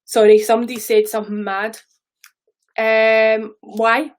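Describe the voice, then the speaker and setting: A woman in her thirties with a Scottish accent, talking loosely and rambling to her viewers on a live stream. Her voice sits a little high, and she swears now and then between asides. She sounds like she is on a phone or webcam mic. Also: flat delivery, measured pace. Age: 30s. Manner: chatty, rambling, swears casually. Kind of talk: casual live stream chat.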